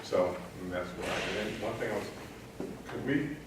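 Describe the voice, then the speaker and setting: A man who works as a community liaison officer, speaking haltingly with many fillers at a community meeting. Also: on the low side. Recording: echoey room, distant mic, noisy.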